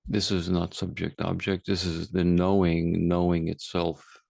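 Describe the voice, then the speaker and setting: A man guiding a meditation as a teacher, speaking calmly and unhurriedly with long pauses. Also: low voice, gravelly, no room sound, clean studio sound. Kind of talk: guided meditation, unscripted.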